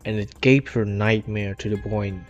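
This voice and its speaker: A man's voice: on the low side, fairly even intonation, moderate pace. A man reading a scripted retrospective of a game story, in English with non-native grammar. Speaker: video essay narrator. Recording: dull lo-fi mic, music behind.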